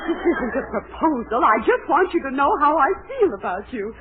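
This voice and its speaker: A woman's voice: high-pitched, sing-song, fairly quick. Overbearing middle-aged landlady, melodramatic wheedler with big swooping delivery, 1940s radio comedy, tinny recording. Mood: coaxing. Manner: theatrical pleading, melodramatic.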